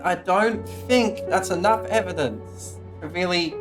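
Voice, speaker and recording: A woman's voice: lowish voice, a little slow. A woman game streamer giving casual live commentary in a blunt, skeptical, sweary way. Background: game music.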